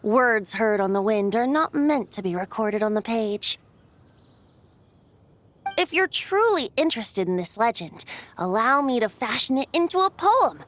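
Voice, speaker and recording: A woman's voice: on the high side, fairly bright tone. A woman voicing a playful, poetic, carefree young male wind bard in a video game, with a lyrical, airy, teasing manner. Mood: coy, amused. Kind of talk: scripted game character dialogue. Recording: studio voice over background music.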